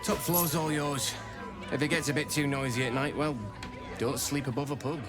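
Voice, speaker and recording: An actor with a British accent playing a chatty, cheerfully morbid, opportunistic tavern keeper in a video game. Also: male voice, mid-range voice, fairly even intonation, normal speed, close to an everyday voice. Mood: wry, chatty. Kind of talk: video game character acting. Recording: music and pub crowd behind.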